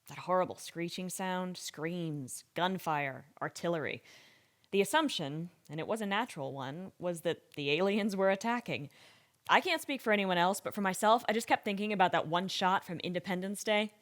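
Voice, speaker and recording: Actress as female scientist, lowish voice, wry, candid, conversational, deliberate pauses, audio drama interview. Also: close to an everyday voice. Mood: sombre, recollecting.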